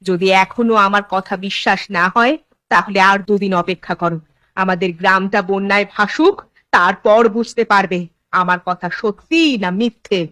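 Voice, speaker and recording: A woman's voice: medium pitch, fairly even intonation, normal speed, a bit bright, no room sound, lightly acted. A woman playing the sensible, reproachful wife of a village headman in a cartoon, firm and admonishing. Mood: resigned, stern. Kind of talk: Bengali cartoon voice acting.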